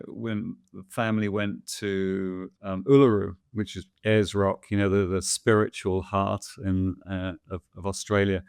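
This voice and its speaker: A man's voice: fairly low pitch, clear, resonant tone, dry recording, studio quality. A man in a casual interview, answering viewer questions in a thoughtful, hesitant way, with many fillers and restarts.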